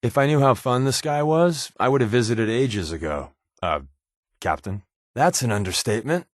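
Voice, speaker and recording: Game companion, male: wisecracking secret agent, played by a male actor, sardonic and conversational, animated inflection, close dry recording. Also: mid-range voice, moderate pace, no echo, close to an everyday voice. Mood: dry, sarcastic. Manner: glib, dry sarcasm, conversational. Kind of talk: video game companion dialogue.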